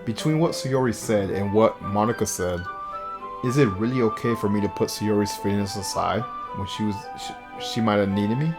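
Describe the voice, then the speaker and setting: Low-voiced man streaming, music behind him. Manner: stumbles over words while reading. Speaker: game streamer. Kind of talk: reading visual novel text aloud with casual asides.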